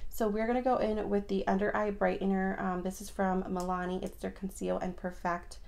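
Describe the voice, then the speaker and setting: A young woman chatting casually through a makeup routine, her voice raspy from just waking up, rambling with lots of fillers. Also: mid-range voice, level tone, fairly quick, darkish tone. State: raspy morning voice. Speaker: beauty vlogger. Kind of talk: casual get-ready-with-me chat.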